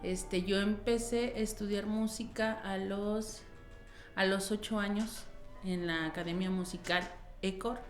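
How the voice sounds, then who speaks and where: A woman from Michoacán with a Mexican accent recalls her past for an interviewer. Her pitch is average. The room is echoey, with faint music behind. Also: fairly even intonation, unhurried. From Tingambato, Michoacán, Mexico. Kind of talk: personal recollection in interview.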